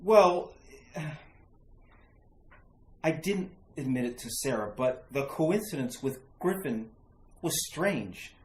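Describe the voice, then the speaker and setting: A man's voice: mid-range voice, expressive, a little slow, lightly acted. An earnest, worried husband played by a man protests in the manner of an old horror radio play. His hesitant, reasonable speech swings widely up and down. The sound is roomy and echoing. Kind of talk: retro radio horror acting.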